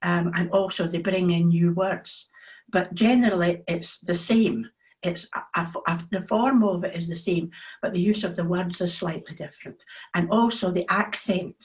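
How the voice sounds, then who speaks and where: A woman's voice: fairly low pitch, moderate pace. A woman with a Scottish accent, chatting casually as an author being interviewed.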